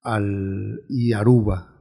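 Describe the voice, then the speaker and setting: A man's voice: fairly low pitch, fairly even intonation, drawn-out words, vocal fry, mellow, slightly rough. An older man with a Venezuelan accent, telling stories as a guest in a conversational interview. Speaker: interview guest, biographer and former politician.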